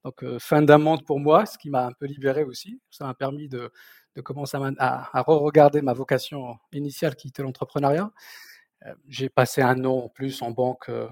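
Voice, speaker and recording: A man's voice: mid-range voice, fairly even intonation, brisk, darkish tone, no echo. A man in his thirties from southern Morocco, a native Berber speaker, telling his story hesitantly as an interview guest. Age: 30s. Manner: hesitant, repeating words as he searches for phrasing. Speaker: podcast guest, company founder. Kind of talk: casual autobiographical interview.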